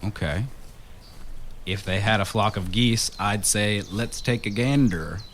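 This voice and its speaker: An actor playing a curious, wisecracking husband in a radio drama, with a wry and casual manner. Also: male voice, lowish voice, a little slow, a bit bright, slight background noise. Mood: joking. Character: wisecracking, curious husband exploring an old mansion.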